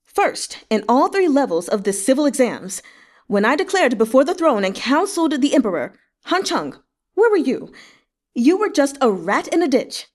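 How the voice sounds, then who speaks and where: A woman's voice: sits high, sing-song, moderate pace, pitched above the performer's own voice. A woman voicing a proud, furious old male scholar in an impassioned, haughty storybook read-aloud. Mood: haughty defiance. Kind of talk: dramatic read-aloud of a historical novel.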